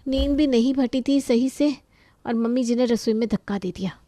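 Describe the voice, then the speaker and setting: A woman's voice: on the high side, normal speed, mellow, dry recording, natural voice. A woman voicing a young, overworked, resentful daughter-in-law who complains in exasperation in an Indian family story. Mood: weary grumbling.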